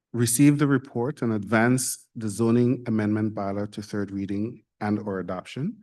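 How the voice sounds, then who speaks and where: A man, reading a formal report to a council meeting in a measured, official way. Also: on the low side, a little mellow. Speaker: municipal staff presenting a report.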